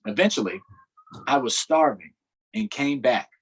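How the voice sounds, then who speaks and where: A man with a slightly husky voice hosts a children's storytime, reading a picture book aloud. His delivery is expressive, with a lively pitch that rises and falls, and he pauses to ask the kids questions. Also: rough recording. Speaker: councilmember hosting a virtual storytime.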